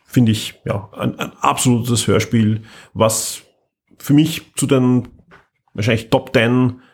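A man with a mid-pitched, husky voice hosts a podcast. He chats casually and reviews with emphatic enthusiasm. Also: steady tone, slow. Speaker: podcast host. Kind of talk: casual chat reviewing audio dramas.

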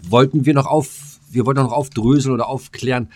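A man's voice: mid-range voice, steady tone, a little fast. A man chatting casually as a podcast co-host, reacting and chipping in. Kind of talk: casual chat.

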